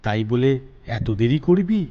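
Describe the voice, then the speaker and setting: A man voicing a tender, devoted young village lover, soft and consoling, in an audio drama. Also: medium pitch, very animated, takes it slowly, highs cut off, pitched above the performer's own voice. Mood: longing. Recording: music behind the voice.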